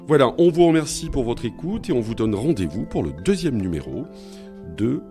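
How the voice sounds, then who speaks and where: A man's voice: medium pitch, big pitch swings, normal speed, dark tone, faint music behind. A man hosting a podcast, speaking in a semi-formal, courteous way as he addresses guests and listeners. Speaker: podcast host.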